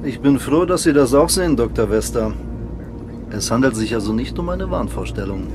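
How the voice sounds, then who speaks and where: A man's voice: medium pitch, animated, moderate pace, natural voice. Guy playing a decisive spaceship captain, mid voice, grave and formal, radio drama, music behind. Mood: puzzled, grave. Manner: formal, authoritative.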